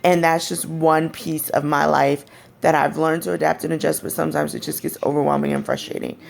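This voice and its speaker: A young woman with a raspy, deep voice, speaking candidly in a personal vlog. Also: fairly even intonation, brisk. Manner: candid, frank and conversational. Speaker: vlogger. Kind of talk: personal storytelling to camera.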